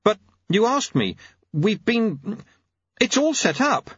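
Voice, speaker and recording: Man acting a high-voiced, anxious young clockmaker. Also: big pitch swings, slow, fairly bright tone, slightly rough, dry recording, muffled recording, exaggerated voice. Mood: diffident. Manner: hesitant, stammering. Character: diffident, anxious, earnest young male clockmaker.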